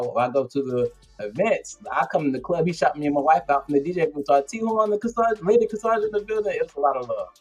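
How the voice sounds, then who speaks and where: A man hosting an interview, telling a story in a loose, slangy way and acting out what people said. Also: medium pitch. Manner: animated, slangy, acts out quotes. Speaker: interview host. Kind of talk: casual storytelling chat.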